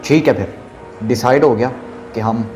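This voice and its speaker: A man's voice: mid-range voice, a little slow, a little mellow, soft background music, natural voice. A man playing a blunt, bold young student keen on hunting trips, in an audio drama.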